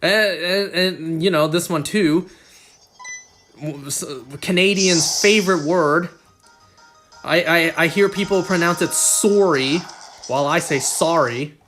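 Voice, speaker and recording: A slightly high-voiced man chats loosely on a live game stream. He rambles from one aside to the next and jokes at his own expense, with soft music playing underneath. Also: slow pace. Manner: rambling, self-deprecating, joking. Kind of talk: casual live-stream chat.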